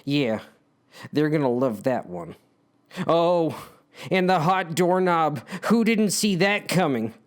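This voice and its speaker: A man's voice: fairly high pitch, animated, slow. A man reading his own story as dramatic narration, speaking to the characters in a wry, theatrical, conspiratorial way.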